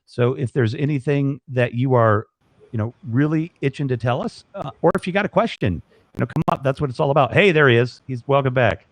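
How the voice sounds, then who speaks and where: A man's voice: mid-range voice, brisk, no echo. Rambling man hosting a live chat. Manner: rambling, many restarts and fillers. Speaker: live stream host.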